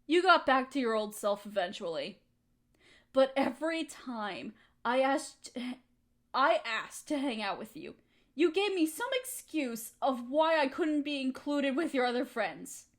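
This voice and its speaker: A woman's voice: high-pitched, big pitch swings, slow pace, long pauses, exaggerated voice. A woman playing a hurt, resentful young woman confronting her roommate in an emotional, accusatory audio roleplay monologue. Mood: bitter, reminiscing. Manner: emotional, accusatory, pointed rhetorical questions.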